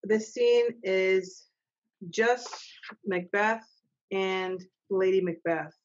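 Stage manager: middle-aged woman, mid-pitched, reading directions plainly, heard through dull video-call audio. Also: extremely slow. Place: home office, indoors. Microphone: computer mic on a video call.

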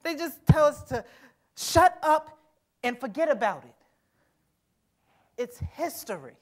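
A young man acting a wary, wounded murdered teenage boy who speaks with a halting stutter, in a staged reading. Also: very high-pitched, animated, full character voice. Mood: bitter. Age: young adult. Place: conference stage before a seated audience. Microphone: lapel mic.

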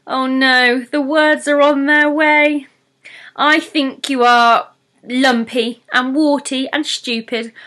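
A woman voicing a tiny, nervous female pixie who blurts out truths in a squeaky, anxious way, in a storybook reading. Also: sharp tone, heavily acted.

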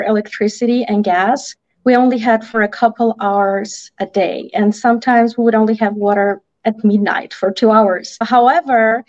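A woman's voice: fairly high pitch, darkish tone. A woman in her thirties from Armenia with an Armenian accent, a native Armenian speaker, talking conversationally as an interview guest on a video call. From Armenia (Artsakh). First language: Armenian (Artsakh dialect). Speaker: picture book author, interview guest. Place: indoor room, video call. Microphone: video call microphone. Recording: slightly dull video-call audio.

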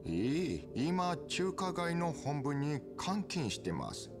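An actor playing a polite but menacing triad boss in a video game, speaking with formal, cold courtesy. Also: male voice, a little high, sing-song, takes it slowly, full character voice. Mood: probing, demanding. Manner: formal, courteous, coldly threatening. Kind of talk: dramatic video game acting. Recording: music behind.

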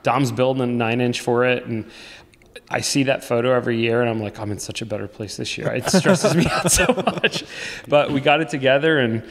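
A man in his late thirties from the US East Coast, a racing guest recounting team history in animated, casual talk. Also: medium pitch, a little fast, in a large hall, crowd noise. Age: 30s. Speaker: guest, off-road racer. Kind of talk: casual chat.

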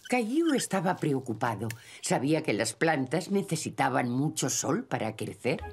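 A man's voice: a little high, big pitch swings, moderate pace, faint music behind. A man narrating a preschool cartoon as a storyteller, in Castilian Spanish. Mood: gentle storytelling. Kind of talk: scripted children's story narration.

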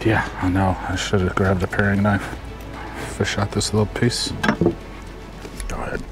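A man co-hosting a casual outdoor cooking demo, talking in a relaxed, chatty way as he explains each step. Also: on the low side, normal speed. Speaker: cooking show co-host. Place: outdoor campsite. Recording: music playing behind.

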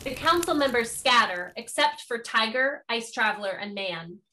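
Bright-voiced woman narrating a play. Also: fairly high pitch, expressive, moderate pace, slight background noise, rough recording. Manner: plain, declarative. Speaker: stage directions narrator.

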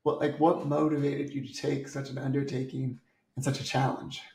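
A man's voice: mid-range voice, flat delivery, normal speed, vocal fry, darkish tone. A man in his thirties hosting an interview over a video call, upbeat and enthusiastic. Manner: upbeat, enthusiastic, with repeated words in questions. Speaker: host. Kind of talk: scripted intro then interview questions. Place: indoor home office. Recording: echoey room on video call.

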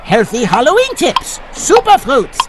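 An actor voicing an eccentric, excitable professor and caretaker in a campy radio comedy. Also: male voice, extremely high pitch, measured pace, heavy background noise, exaggerated voice. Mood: eager announcing. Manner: comic mangled grammar, excitable. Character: nervous, eager, eccentric male cartoonish professor caretaker.

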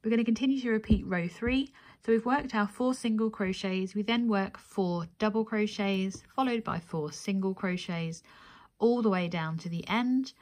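A woman presents a step-by-step craft tutorial at a middling pitch. She explains each stage methodically and counts through it aloud. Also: moderate pace, darkish tone.

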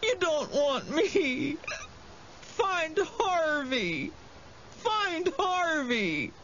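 A man's voice: sing-song, drawn-out words, a bit bright, heavily acted. A man voicing a frightened, cowardly fat boy who whines, sobs and begs for mercy in a storybook. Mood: whining, tearful. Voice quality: high, thin, tearful. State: sobbing. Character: terrified fat boy, whining and begging for mercy. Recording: dull audio with faint noise.

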